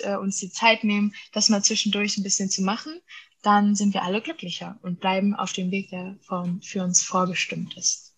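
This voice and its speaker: A woman's voice: mid-range voice, a bit bright. A woman in her twenties, an artist hosting a casual conversation, talking earnestly with many filler sounds. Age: 20s.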